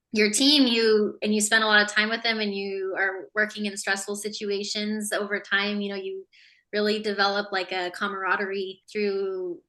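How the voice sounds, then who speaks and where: A woman co-hosting a podcast, chatting casually and relaxed. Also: medium pitch, low-quality recording. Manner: relaxed, laughs easily. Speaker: podcast co-host. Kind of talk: casual chat.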